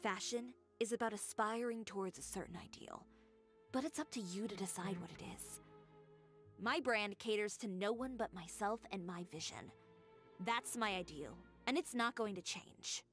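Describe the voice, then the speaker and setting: A woman's voice: mid-range voice, normal speed, a bit bright, soft background music, natural voice. An actress playing a proud, determined young female fashion designer in a game, speaking in a firm, cool, lecturing way. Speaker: game character.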